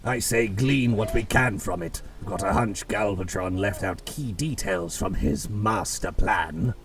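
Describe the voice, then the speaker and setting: A man voicing a wry, analytical robot scout in an animated series, gravelly and dry, heard from a distance over music. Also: fairly low pitch, a little slow. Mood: wry, pondering. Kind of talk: animated-series character acting. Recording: distant mic, music underneath.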